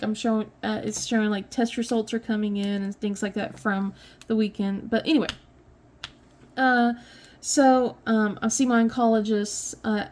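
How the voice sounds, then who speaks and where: A woman's voice: a little high, a little mellow, a little hiss or hum. Chatty, sniffly woman vlogger. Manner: rambling, many fillers and restarts, light laughs. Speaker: crafting vlogger. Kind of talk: casual chat while diamond painting.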